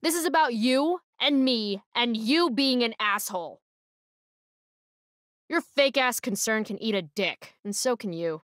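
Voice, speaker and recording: A woman's voice: a little high, expressive, unhurried, fairly bright tone, no room sound, clean studio sound. A young woman playing a rebellious, sarcastic teenage girl in a video game, with an American accent and a sardonic, defiant delivery. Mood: angry defiance. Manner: sardonic, defiant, foul-mouthed.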